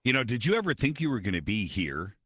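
A man's voice: mid-range voice, sing-song, fast talker, fairly bright tone, breathy edge, no echo, clean studio sound. A man hosting a late-night radio talk show, chatty and upbeat as he moves to the calls.

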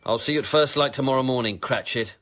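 A man playing a harsh, miserly old moneylender with a raspy, barking voice in an amateur radio play. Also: mid-range voice, fast, fairly bright tone, close to an everyday voice. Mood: gruff. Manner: gruff, bellowing orders.

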